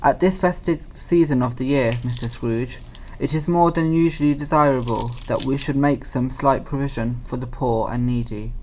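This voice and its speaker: A man's voice: mid-range voice, darkish tone, a little hiss or hum, lightly acted, poor audio. A man acting a polite, earnest charity collector in an audio play, speaking formally and courteously.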